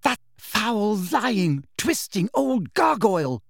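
A man's voice: fairly high pitch, very animated, no room sound, studio quality, pitched above the performer's own voice. A man voicing a clever, earnest teenage schoolgirl with an emphatic, fervent manner. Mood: indignant. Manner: emphatic, fervent, quick to argue.

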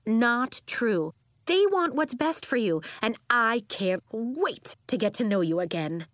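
Woman playing outspoken storybook aunt, vibrant, blunt, breezy, exclamatory, high and animated pitch. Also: takes it slowly, dry recording, clean studio sound, exaggerated voice. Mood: reassuring. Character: vibrant, brash, outspoken, sturdy flying aunt.

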